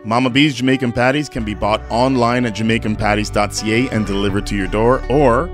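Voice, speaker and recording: A man's voice: medium pitch, steady tone, fairly quick, music in the background. A man hosting a radio show in a casual, conversational interview style.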